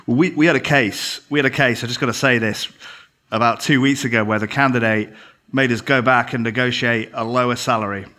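A man on a podcast, a recruitment business owner, telling lively anecdotes and acting out quoted dialogue. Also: medium pitch, a little fast. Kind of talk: casual podcast chat.

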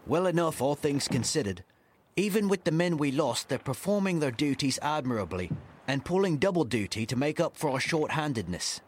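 An actor playing a dutiful, cautious first mate in a nautical audio drama, speaking formally and respectfully. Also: male voice, fairly high pitch, big pitch swings, normal speed, a little hiss or hum. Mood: respectful, concerned.

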